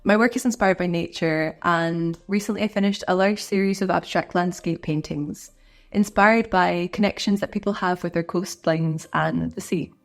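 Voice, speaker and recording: A woman's voice: on the low side, normal speed, creaky. A woman artist chatting to camera in an encouraging, friendly way, sounding a little stuffed up from a cold. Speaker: artist and video creator. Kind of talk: casual talk to camera.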